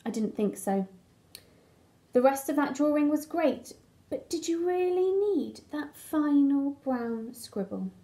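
A woman's voice: high voice, expressive, takes it slowly, dark tone, exaggerated voice. A woman voicing an embarrassed, resentful brown crayon from a storybook with wry sarcasm. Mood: sarcastic, embarrassed. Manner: sarcastic, aggrieved.

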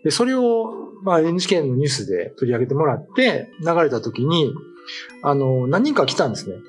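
Middle-aged man explaining, lively higher-pitched voice. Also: a little fast, soft background music. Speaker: writer and co-host. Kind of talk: casual explanatory talk about folklore. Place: small meeting room set up as a studio, indoors.

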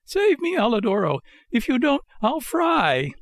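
A man voicing an excitable, pitiful wooden marionette boy in a storybook, wailing and pleading. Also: very high voice, a little slow, no echo, studio quality, pitched above the performer's own voice, full character voice. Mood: terrified pleading. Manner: wailing, exclamatory. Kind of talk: storybook character voice in audiobook narration.

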